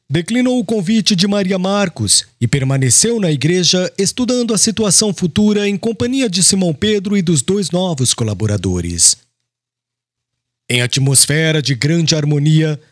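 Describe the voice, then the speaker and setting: A man narrating a literary audiobook in a formal, expressive reading style. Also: high voice, no echo, studio quality.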